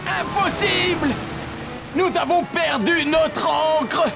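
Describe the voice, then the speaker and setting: Hapless animated pirate: very high, croaky, exclamatory, for children's cartoon. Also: male voice, takes it slowly, over loud music, heavily acted. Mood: flustered.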